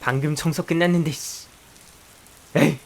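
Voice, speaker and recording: A man playing an exasperated male high school student in a visual novel, blunt, dry and sarcastic, with a sighing delivery. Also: moderate pace, gravelly. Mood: grumbling, annoyed. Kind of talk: visual novel voice acting with some first-person narration. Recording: hiss with steady rain behind.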